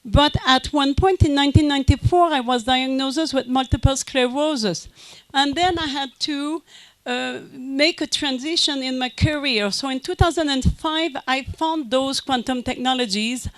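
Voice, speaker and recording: A French Canadian woman, a native French speaker, giving a conference lecture in a halting way with many fillers and restarts. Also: high voice, no room sound, rough recording. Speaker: guest speaker.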